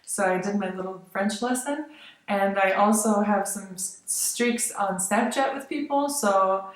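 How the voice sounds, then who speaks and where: A young woman from Lithuania chatting casually as a vlogger, rambling with many fillers and soft laughs. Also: mid-range voice, measured pace. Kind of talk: casual chat. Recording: very echoey room.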